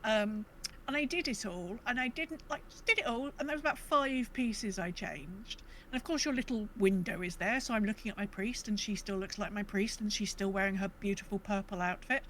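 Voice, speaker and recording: A woman's voice: medium pitch, a little fast, fairly bright tone, a little hiss or hum, poor audio. A woman co-hosting a podcast in a casual, chatty way. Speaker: podcast co-host. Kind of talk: casual gaming podcast chat.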